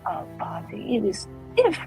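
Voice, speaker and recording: A woman acts out a coy noblewoman trading lurid rumors in a fantasy game. Her delivery is theatrical and arch, with lively, swinging pitch and drawn-out pauses, over faint music. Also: vocal fry, full character voice. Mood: coy gossip.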